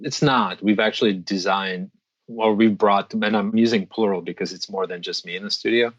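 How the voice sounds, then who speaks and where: A man's voice: lowish voice, fairly even intonation, dry recording, dull audio. A middle-aged man from the Czech Republic, a designer and metalsmith, giving thoughtful interview answers with frequent restarts. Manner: thoughtful, restarts often, measured.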